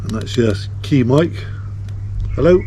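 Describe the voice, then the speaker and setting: A man's voice: mid-range voice, animated, a little slow, warm tone, a little hiss or hum, low-quality recording. A man giving a casual, informal unboxing commentary in an off-the-cuff way. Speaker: video host reviewing a product.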